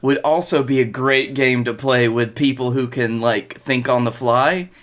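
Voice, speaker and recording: A man's voice: mid-range voice, steady tone, unhurried. A young man co-hosting a wrestling podcast, chatting casually and playfully. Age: young adult. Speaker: podcast co-host. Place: bedroom at home, on a video call.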